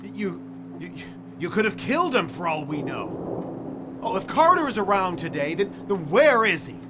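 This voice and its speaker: A man's voice: sits high, very animated, normal speed, heavy background noise. An actor playing an irascible, sceptical old man in a radio play, blustering and indignant. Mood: scornful, indignant. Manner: blustering, indignant, stammering scorn.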